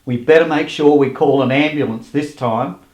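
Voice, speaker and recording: A man's voice: mid-range voice, moderate pace, a little mellow, lightly acted. Scheming radio actor in echoey room, man acting. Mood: conspiratorial. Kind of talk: comic radio play acting.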